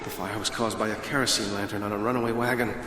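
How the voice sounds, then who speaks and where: An actor playing a troubled, helpless young time traveller, brooding and earnest, in an old television drama. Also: male voice, fairly low pitch, fairly even intonation, fast, music in the background, lightly acted. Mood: anguished, helpless. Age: young adult.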